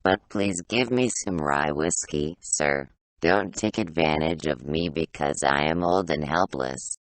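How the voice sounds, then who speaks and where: A man's voice: extremely low pitch, a little slow, no echo, poor audio. A man playing a wistful, self-pitying ancient man in a baby's body, telling his life story in an old-fashioned way for an audio play. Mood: plaintive.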